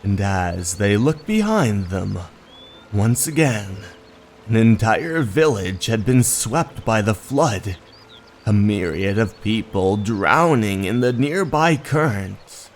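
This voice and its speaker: A man narrating a scripted horror story in a measured, dramatic way. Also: fairly low pitch, big pitch swings, a little hiss or hum. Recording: music under the voice.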